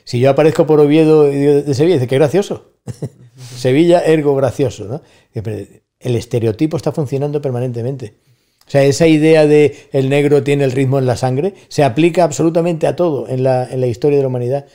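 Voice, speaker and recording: A middle-aged man with an Andalusian accent talks through ideas and anecdotes at his own pace. His voice is dark and mellow. He steers the chat with rhetorical questions and small stories. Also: medium pitch, brisk. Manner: storytelling, thoughtful, with rhetorical asides. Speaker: guest, university staff member. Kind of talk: casual conversation on a podcast.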